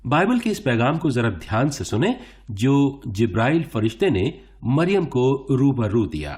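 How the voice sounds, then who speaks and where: An actor playing a showy quiz show host in a radio play, teasing and polite, announcing theatrically. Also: male voice, mid-range voice, very animated, unhurried, a little mellow. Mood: upbeat. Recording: music under the voice. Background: music.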